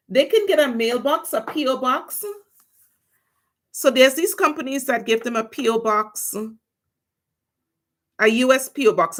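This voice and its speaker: A woman's voice: on the high side, a bit bright. A woman with a Trinidadian accent chatting casually to her viewers on a livestream while she does her nails. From Trinidad and Tobago. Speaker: nail technician vlogger.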